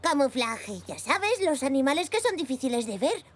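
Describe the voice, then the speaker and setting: Eager, high-voiced little girl zebra. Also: very animated, moderate pace. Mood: eager, friendly. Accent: Castilian Spanish. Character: eager young girl zebra, helpful and curious schoolgirl. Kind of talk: children's animated series dub.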